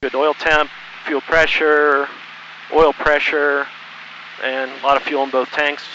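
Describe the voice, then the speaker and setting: A middle-aged man with a very bright, edgy voice talks casually to himself as he flies. His phrases come haltingly as he works through each step. The audio is narrow and lo-fi, like a cockpit headset, with faint engine noise underneath. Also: fairly high pitch, level tone. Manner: halting, thinking aloud to himself. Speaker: student pilot flying solo, narrating for video. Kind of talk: casual running commentary while flying. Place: cockpit of a small plane in flight. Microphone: aviation headset.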